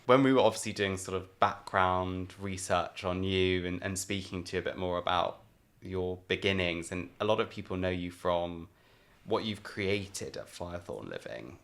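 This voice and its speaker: A man's voice: deep voice, moderate pace, a bit bright. A man hosting a podcast, introducing and questioning a guest in a conversational style. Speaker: podcast host.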